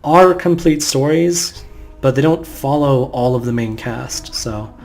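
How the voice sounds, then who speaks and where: A man doing let's play commentary in a mid-pitched voice. He rambles casually and offhandedly, with faint game music behind him. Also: measured pace, creaky, darkish tone. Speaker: gamer narrating a playthrough.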